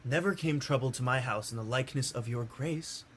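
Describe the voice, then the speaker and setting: A man playing a courteous older nobleman and gracious host in a Shakespearean radio play, husky and formal. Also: medium pitch, fairly quick, a bit bright. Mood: genial.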